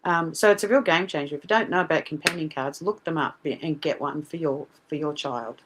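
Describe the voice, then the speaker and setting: Middle-aged Australian woman, slightly low voice, earnest and animated, plenty of fillers; podcast guest. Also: fairly quick, background noise. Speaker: guest, parent advocate. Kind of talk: casual podcast interview.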